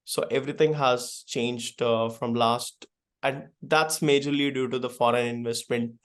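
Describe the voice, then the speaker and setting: A man's voice: medium pitch, fairly even intonation, measured pace, a bit bright, clear, resonant tone. A man answering interview questions hesitantly, with many filler sounds. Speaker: guest.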